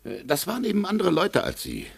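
A gravelly, condescending consul. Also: male voice, high-pitched, wildly swooping pitch, brisk, heavily acted. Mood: evasive. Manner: condescending, officious. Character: haughty, evasive American consul, condescending bureaucrat. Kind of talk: German radio play acting.

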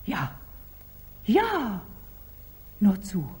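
An actress playing an anxious, scheming household companion in a 1940s German radio drama, theatrical and conspiratorial, with a husky voice. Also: female voice, mid-range voice, wildly swooping pitch, drawn-out words, a little mellow, full character voice. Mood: conspiratorial, determined.

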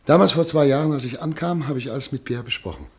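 Male actor as resigned refugee doctor, radio play, plain mid voice, quietly firm. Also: medium pitch, quick pace, vocal fry, natural voice. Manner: subdued, fatalistic, earnest.

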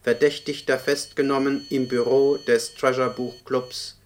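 An actor playing a dry, formal official who reads out police records in a radio play, punctuation included. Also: male voice, medium pitch, a little slow, close to an everyday voice. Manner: monotone, formal, spells out punctuation. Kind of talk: German radio play acting, document read-out. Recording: older radio drama, noticeable background noise.

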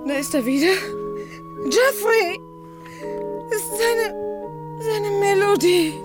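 An actress playing a desperate, hysterical wife at breaking point, crying out and pleading in a melodramatic radio drama. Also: female voice, extremely high pitch, animated, slow, exaggerated voice. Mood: terrified. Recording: roomy, loud piano music underneath.

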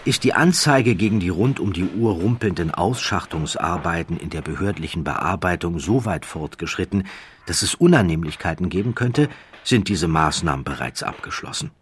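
A man narrating a scripted documentary story in a measured, dramatic way with deliberate pauses. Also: low-pitched, expressive, a little hiss or hum.

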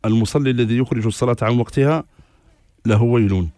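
A man's voice: medium pitch, dark tone, no room sound, studio quality. Authoritative male scholar giving rulings. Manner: measured, authoritative, cites scripture and scholars. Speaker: religious scholar answering questions. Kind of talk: formal religious ruling on radio.

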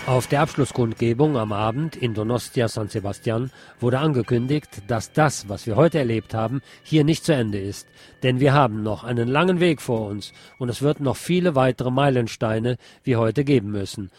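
A man's voice: mid-range voice, normal speed, a little mellow, faint music behind. Man reading a news report steadily. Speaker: radio host reading a news article. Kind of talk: read-aloud news report.

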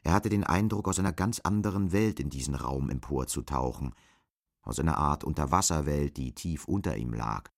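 A man's voice: extremely low pitch, animated, normal speed, creaky. A man narrating a scripted literary radio drama.

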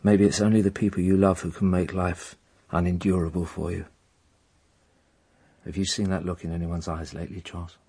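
An actor playing a world-weary, fatherly police inspector in a radio drama, a middle-aged man with a husky voice and a measured, probing manner. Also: sits low, flat delivery, dark tone. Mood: pressing, blunt.

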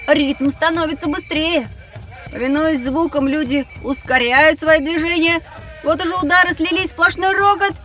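A woman playing a curious young boy in a children's educational audio drama, with wondering, expressive storytelling. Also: sits high, moderate pace, faint music behind. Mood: excited narrating. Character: curious young boy on a time-travel adventure.

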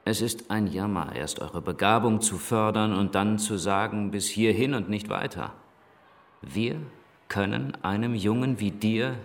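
An actor playing a liberal priest and headmaster in a German radio drama, measured, articulate and paternal, gently reproving. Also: male voice, lowish voice. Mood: regretful, thoughtful.

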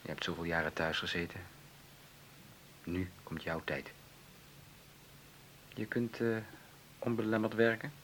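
An actor in a Dutch radio play playing a brash, broke lawyer who talks in a glib, slangy, persuasive way. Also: male voice, sits low, a little slow, pauses often, a bit bright. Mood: cool, probing. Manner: glib, slangy, persuasive, hesitating over delicate words. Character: brash, scheming lawyer brother, broke and pushy. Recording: hissy old radio play recording.

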